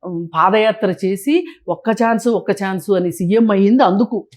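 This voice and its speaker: A woman's voice: mid-range voice, moderate pace, darkish tone. A woman with a mid-range voice talks as an interview guest. She gives political commentary in a colloquial, conversational style. Her manner is critical and opinionated.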